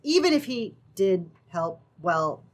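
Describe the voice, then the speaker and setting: Woman playing an animated, plucky young heroine. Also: lowish voice, slow pace. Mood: earnest. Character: plucky, earnest young woman held captive. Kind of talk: old-time radio adventure acting.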